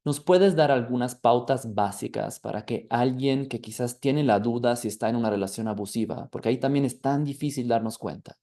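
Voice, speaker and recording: A man with a Mexican accent hosting a podcast interview, speaking in a soft, reflective way. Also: mid-range voice, a little fast, few pauses. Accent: Mexican Spanish. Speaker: podcast host.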